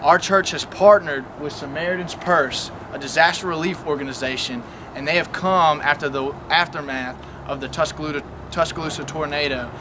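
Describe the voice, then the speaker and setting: A man's voice: on the high side, normal speed, few pauses, noisy background. A man in his twenties with a Southern American accent, speaking upbeat in a casual video submission outdoors. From Alabama. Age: 20s. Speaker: college student. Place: outdoors by a stadium.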